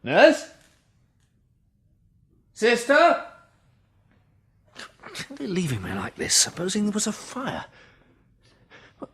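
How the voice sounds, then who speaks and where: An actor playing an agitated British hospital patient, speaking hesitantly and with exclamations in a radio drama. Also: male voice, high voice, huge pitch swings, slow pace, long pauses, fairly bright tone, husky, full character voice. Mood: irritable, anxious. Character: agitated male hospital patient with bandaged eyes.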